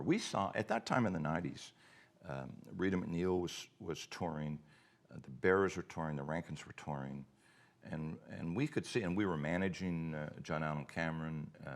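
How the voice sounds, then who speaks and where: A middle-aged man with a Cape Breton accent, a festival co-founder telling stories in a relaxed live chat. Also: very deep voice. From Cape Breton, Nova Scotia, Canada. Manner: animated storyteller, acts out quoted dialogue.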